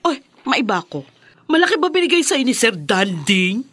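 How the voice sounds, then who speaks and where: An actress playing a chatty, upbeat young best friend, slangy and exclamatory, in Filipino radio drama style. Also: female voice, a little high, big pitch swings, normal speed, fairly bright tone, husky, full character voice. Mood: breezy, caring.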